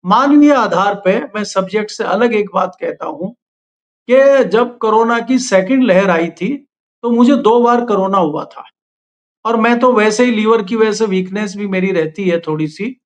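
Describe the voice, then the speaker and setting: A man's voice: high voice, measured pace. A man, a political editor, answering in an interview in a critical, earnest way, on a remote line.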